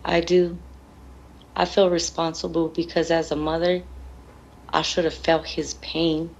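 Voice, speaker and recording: A woman's voice: low-pitched, level tone, measured pace, creaky, darkish tone, dull audio, slight background noise. A grieving woman answering interview questions in an earnest, emotional, plain-spoken way, often repeating her phrases. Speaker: bereaved mother being interviewed.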